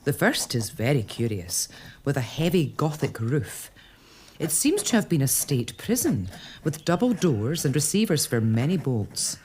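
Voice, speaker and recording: A woman's voice: a bass voice, big pitch swings, unhurried, darkish tone, slight background noise, exaggerated voice. An actress playing a curious, determined lady of a castle in a period audio drama, probing and earnest. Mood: reflective.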